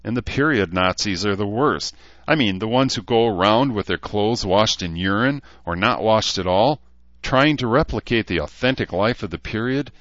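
Man voicing a wry young woman, raised pitch. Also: expressive, normal speed, fairly bright tone. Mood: wry, chatty. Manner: chatty, wry. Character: lively, opinionated, polite young woman. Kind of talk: fantasy audiobook character voice. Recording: dull, lo-fi, roomy sound.

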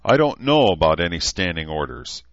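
A man's voice: sits low, big pitch swings, normal speed, creaky, no room sound, lo-fi sound, heavily acted. A man voicing a surly, dim-witted town guard who growls. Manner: growling then uneasy.

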